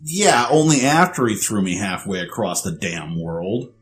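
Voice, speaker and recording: A man voicing an excitable, cocky male prankster in a story, exclaiming and stammering. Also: lowish voice, unhurried. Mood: indignant.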